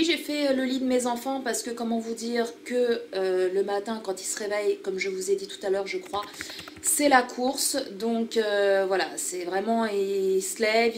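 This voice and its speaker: A woman's voice: fairly high pitch, slight background noise. A woman in her thirties with a French accent, chatting casually to her viewers as she shows her small living room, rambling with lots of fillers. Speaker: vlogger. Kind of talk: casual home vlog chat.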